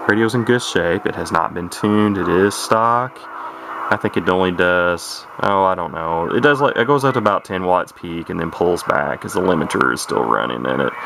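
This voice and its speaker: A man with a low, gravelly voice talks through a casual hobbyist demonstration. He is folksy and hesitant, rambling without a script. The home recording is clearly noisy, with CB radio static and chatter behind him. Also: moderate pace. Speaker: vintage radio hobbyist presenting a video. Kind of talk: casual unscripted product demonstration. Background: noise and CB radio chatter.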